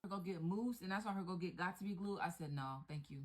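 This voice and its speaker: Woman, low pitch, casual complaint video, displeased, emphatic, matter-of-fact. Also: fast talker. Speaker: customer giving a review.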